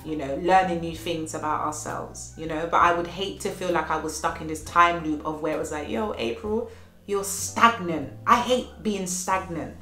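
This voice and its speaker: A woman's voice: on the low side, normal speed, sharp tone. A woman in her thirties with a slight cold, giving earnest motivational life lessons in a home vlog and repeating phrases for emphasis. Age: 30s. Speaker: vlogger. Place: at home, child playing in next room. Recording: echoey room with faint music.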